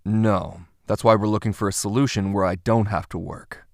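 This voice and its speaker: A man playing a guarded, sullen young kidnapper in an anime dub, speaking in a flat, blunt, weary way. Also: lowish voice, normal speed, vocal fry, no echo, clean studio sound. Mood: sullen, irritated. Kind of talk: anime fan dub acting.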